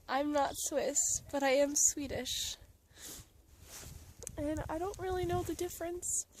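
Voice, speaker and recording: A young woman from Alaska talking to her camera while traveling, earnest and emotional, laughing often and dropping into soft breathy asides. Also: sits high, a little hiss or hum. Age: young adult. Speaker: travel vlogger. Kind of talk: casual vlog talk to camera. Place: outdoors in a mountain town.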